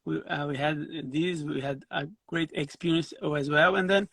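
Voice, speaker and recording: A middle-aged man from Brazil, a guest musician telling his story in a casual interview over a video call, halting and restarting his phrases. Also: on the high side, steady tone, creaky, sharp tone, dry recording.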